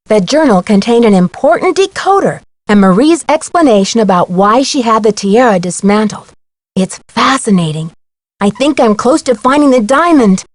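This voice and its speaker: A woman's voice: a little high, lively intonation, normal speed, no echo. A woman voicing an inquisitive young female detective in a video game, speaking earnestly and neatly as she explains things. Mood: earnest, curious. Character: bright, inquisitive teenage girl amateur detective.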